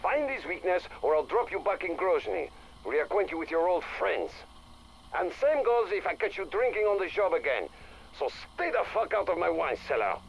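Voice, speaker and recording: A sardonic, threatening underworld enforcer in a game audio log. The voice is gravelly and swings freely up and down as it needles the listener. There is light hiss on the recording. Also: male voice, sits high, big pitch swings, moderate pace, highs cut off, full character voice. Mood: menacing. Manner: taunting, tags questions with "huh". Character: menacing, sardonic crime boss and hired enforcer.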